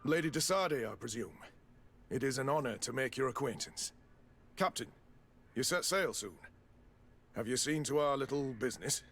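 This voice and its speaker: A man's voice: medium pitch, expressive, normal speed, long pauses, fairly bright tone, slight background noise. A man playing a polished, businesslike official in a fantasy video game, speaking formally and politely. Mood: courteous, businesslike. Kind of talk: scripted video game acting.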